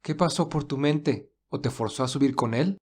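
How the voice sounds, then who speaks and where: A caring, protective man, played by a male actor in an audio romance. He speaks softly and with concern, gently questioning and reassuring. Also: mid-range voice, normal speed, slightly rough, natural voice.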